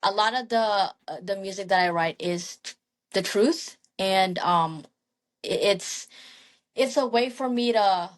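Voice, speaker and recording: A woman's voice: mid-range voice, unhurried. A young woman from the Philippines, a singer and guest, talking casually in an interview with frequent ums and restarts. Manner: soft-spoken, frequent ums and restarts, light laughs.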